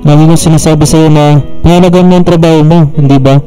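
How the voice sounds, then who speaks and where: A mellow, somewhat high-voiced older father acted by a man in a radio drama. He is earnest and paternal, fond of his child but pressing about work, with light guitar behind him. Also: steady tone, fast, natural voice, poor audio. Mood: earnest, advising.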